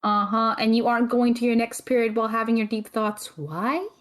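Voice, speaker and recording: A woman playing a stern, stressed young female magic student in an audio drama, with precise, deadpan, teasing delivery. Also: fairly high pitch, a little fast, natural voice. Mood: stern, dry. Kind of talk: audio drama acting, dry banter.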